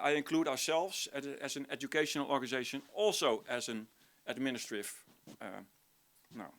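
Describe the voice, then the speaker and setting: A middle-aged man speaks with a Dutch accent in a mid-range voice. He presents informally, like a lecturer at a conference. His delivery is halting, as he restarts phrases and searches for words in English. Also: medium pitch, fairly bright tone. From Netherlands. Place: conference room, before an audience.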